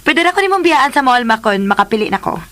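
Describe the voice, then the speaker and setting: Radio drama daughter: affectionate, cheerful, coaxing, slightly high pitch. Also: female voice, big pitch swings, fast, a bit bright. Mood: eager, coaxing. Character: eager, affectionate daughter coaxing her mother.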